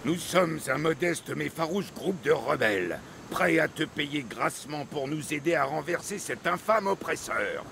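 An actor playing an excitable old Greek sailor and storyteller in a theatrical, expansive video game style. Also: male voice, a little high, unhurried, creaky, hardly any pauses, a little hiss or hum, full character voice. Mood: dramatic reading. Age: older. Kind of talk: dramatic video game acting.

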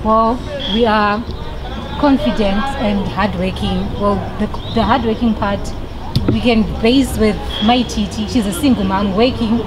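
Young female passer-by, calm answers, noisy roadside recording. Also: mid-range voice, measured pace. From Zimbabwe. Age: young adult. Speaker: interviewee. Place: outdoors on a roadside. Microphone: interviewer's handheld mic. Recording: loud street noise.

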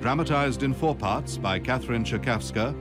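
Formal male announcer over loud music. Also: mid-range voice, normal speed. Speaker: continuity announcer reading cast credits. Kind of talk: scripted broadcast announcement.

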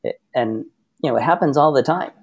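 Guy from massachusetts chatting as a podcast guest, mid pitch, rambles into stories. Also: brisk, breathy edge. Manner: talkative storyteller, easygoing. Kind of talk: casual interview chat.

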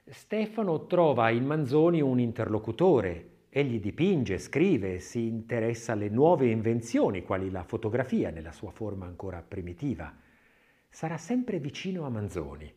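A male audiobook narrator reads a literary documentary text. His mid-pitched voice moves through a wide, lively range of intonation. He phrases with measure and places dramatic emphasis on key words. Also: normal speed. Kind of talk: scripted documentary narration, expressive literary reading.

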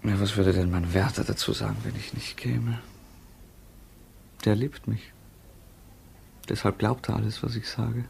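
Dry, low-voiced defiant husband. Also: male voice, fairly even intonation, measured pace, gravelly, pauses often, darkish tone. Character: defiant, evasive husband drinking in a café. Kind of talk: 1950s radio drama acting. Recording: old radio recording with noise.

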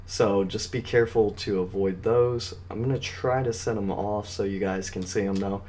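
A man's voice: on the low side, fairly even intonation, moderate pace, mellow. A man with a slightly scratchy voice hosting a casual, step-by-step video game walkthrough. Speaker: gaming video guide host.

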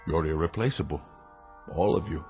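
An actor in a radio drama playing a grave, fatherly inventor who speaks to his robot servants in a measured, commanding, persuasive way. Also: very deep voice, over music. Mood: firm, calm.

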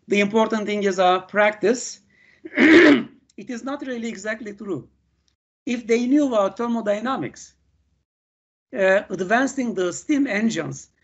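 Older Turkish professor, non-native English, lecturing over a video call, halting delivery full of fillers, dull lo-fi sound. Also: male voice, high-pitched, fairly bright tone, husky. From Turkey. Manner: halting, many "uh" fillers and "okay" checks. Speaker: university professor. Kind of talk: online lecture.

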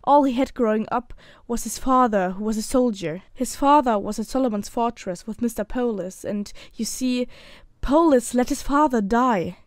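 Close and dry, a woman plays a protective young fiancée in an audio drama. Her voice is fairly high, earnest and sorrowful. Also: moderate pace, no echo, lightly acted. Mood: reluctant, sorrowful.

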